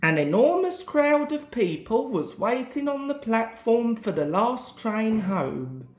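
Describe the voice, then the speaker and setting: A man's voice: extremely high pitch, very animated, slow. A young man reading a children's story aloud as a narrator. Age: young adult. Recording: roomy, echoing home recording.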